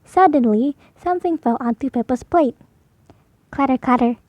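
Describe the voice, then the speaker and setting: A woman's voice: high-pitched, moderate pace, no room sound, lo-fi sound. A woman reading a picture story aloud for young children as scripted narration. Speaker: storybook reader.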